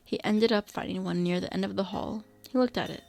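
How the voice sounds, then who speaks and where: A woman's voice: medium pitch, a little fast, creaky, darkish tone. A woman reading scripted narration for a radio drama.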